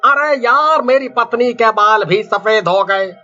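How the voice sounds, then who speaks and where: A man playing a puzzled, excitable village husband in a bedtime story, with exaggerated questioning delivery. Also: extremely high pitch, slow pace, heavily acted. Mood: puzzled, suspicious. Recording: dull lo-fi audio with music behind. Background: background music.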